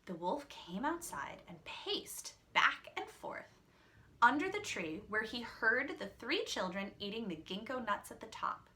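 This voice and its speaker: A woman storyteller with a fairly high, bright voice. She reads slowly for children, in a dramatic, teacherly way, stepping out of the tale for short asides. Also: very animated. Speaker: read-aloud storyteller.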